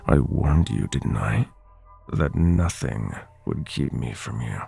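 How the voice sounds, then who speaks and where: Very deep, gravelly nightmare god. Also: male voice, animated, mellow, full character voice. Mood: menacing, teasing. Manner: slow, theatrical, teasing drawl. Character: sinister seductive nightmare god, predatory, gloating, possessive male. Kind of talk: roleplay audio drama, ASMR. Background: music.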